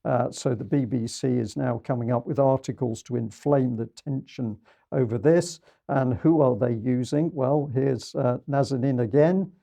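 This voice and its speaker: A male news presenter with a gravelly, very dark voice. He comments on clips in a pointed, critical way, stressing his key points. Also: medium pitch, normal speed.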